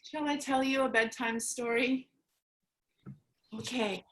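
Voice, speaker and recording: A woman's voice: a little high, fairly even intonation, takes it slowly, slight background noise, low-quality recording. A woman playing a tender mother, the last woman on earth, in a staged reading. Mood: tender, nostalgic. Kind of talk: staged reading, storybook narration.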